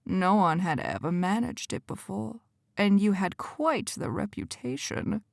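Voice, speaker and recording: Woman putting on a slow, menacing demon leader. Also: expressive, gravelly, no echo, studio quality. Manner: slow, low, quiet taunting. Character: menacing male demon leader, smug and cold.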